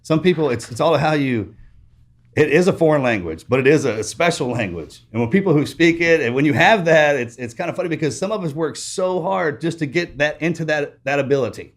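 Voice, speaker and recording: A man's voice: on the high side, brisk. Texas man, rambling podcast host. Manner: rambling, restarts sentences often; gets louder and stronger in accent when excited. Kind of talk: casual interview chat.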